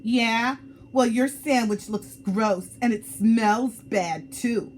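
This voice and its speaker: A woman's voice: a little high, slow pace, slight background noise, pitched above the performer's own voice. A woman voicing a proud, easily hurt little schoolgirl in a storybook, speaking in emphatic, punchy phrases. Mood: angry.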